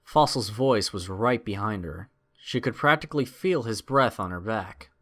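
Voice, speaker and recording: A man's voice: lowish voice, expressive, normal speed, fairly bright tone. A man narrating a scripted adventure story in a dramatic storytelling style.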